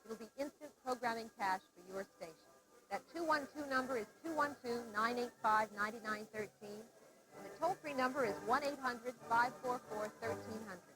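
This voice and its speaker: A woman's voice: on the high side, moderate pace, poor audio. Woman making an earnest pledge appeal. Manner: earnest, persuasive, reads out phone numbers. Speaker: pledge drive presenter. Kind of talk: fundraising appeal.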